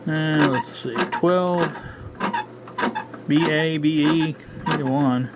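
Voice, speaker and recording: A man demonstrating his work at a home workbench, narrating casually as he goes and often pausing with a halting "uh" while he thinks aloud. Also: on the high side. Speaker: hobbyist radio restorer. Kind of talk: casual hands-on demo narration. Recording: dull lo-fi with steady background noise.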